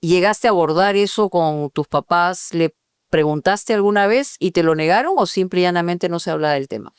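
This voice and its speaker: A woman with a smooth, clear voice, heard dry and close-miked. She asks probing interview questions and backs them with frequent affirmations. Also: fairly low pitch, brisk, dry recording. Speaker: podcast co-host. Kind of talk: interviewing a guest. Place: podcast studio.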